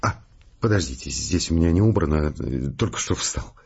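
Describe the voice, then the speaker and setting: A nervous, changeable-pitched scientist. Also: male voice, expressive, moderate pace, gravelly, darkish tone, muffled recording, a little hiss or hum. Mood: flustered. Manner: hesitant, stammering, flustered. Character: nervous, puzzled male astrophysicist, evasive and touchy. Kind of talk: Russian audio drama acting.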